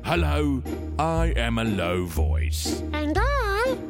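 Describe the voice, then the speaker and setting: A performer singing a children's song, voicing both a low voice and a very high voice. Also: wildly swooping pitch, slow pace, over loud music, exaggerated voice. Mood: playful.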